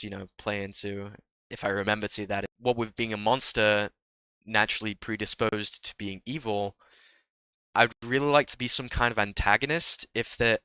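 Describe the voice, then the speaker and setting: A man's voice: on the low side, sharp tone, clear, resonant tone, dry recording. A young man vlogging casually about joining a roleplay server, a little nasal from a cold, chatty and self-deprecating. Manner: chatty, self-deprecating, laughs at own jokes. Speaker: Minecraft roleplay creator. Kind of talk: casual solo vlog talk.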